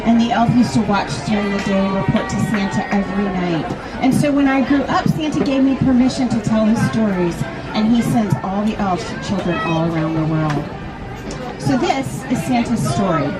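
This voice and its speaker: A woman's voice: medium pitch, lively intonation, moderate pace, low-quality recording. A woman storytelling to an audience of children, reading a picture book aloud and putting simple questions to them. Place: large busy toy store event. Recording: busy public event, crowd noise, loud music.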